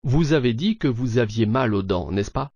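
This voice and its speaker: Assured male character played by an actor, mid-pitched, scripted dialogue, muffled and dry sound. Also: lively intonation, moderate pace, darkish tone, husky, no room sound. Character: assured man, car buyer or dentist. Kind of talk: scripted language-lesson dialogue.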